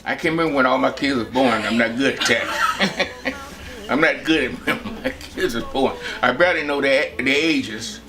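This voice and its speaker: A man in his seventies from Texas, recalling his family life in a relaxed, rambling way with many pauses. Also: medium pitch. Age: 70s. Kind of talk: personal reminiscence.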